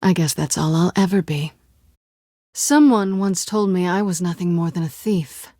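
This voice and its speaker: Actress doing a flirty guarded thief woman from a video game, american, breathy husky, teasing, super dry close recording. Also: on the low side, normal speed, mellow, dry recording, natural voice. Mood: guarded, serious. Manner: teasing, coolly wry. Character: sly, guarded woman cat-burglar with a flirtatious edge.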